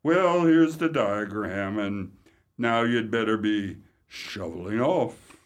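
A man's voice: lowish voice, lively intonation, slow. An actor playing a friendly undertaker in a radio comedy, speaking formally and with mock solemnity as he slips in morbid puns. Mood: droll, mock-solemn.

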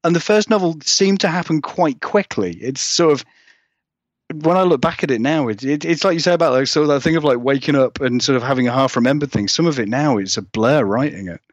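A man's voice: medium pitch, a little fast, dry recording, dull audio. A man chatting casually as an interviewed author, rambling and self-deprecating, with many hedges as he goes. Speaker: guest author being interviewed.

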